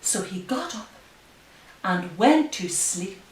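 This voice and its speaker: Librarian lady doing kids storytime, slow n careful, bit far from the mic, echoey. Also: medium pitch, very animated. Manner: deliberate, careful phrasing with pauses. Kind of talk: read-aloud story time for young children. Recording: roomy, distant, faint hiss.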